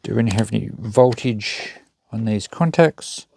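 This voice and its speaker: A man's voice: on the low side, a little slow, creaky, darkish tone. A man giving casual, unscripted commentary as he thinks aloud through a hands-on repair job. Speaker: host of a repair channel.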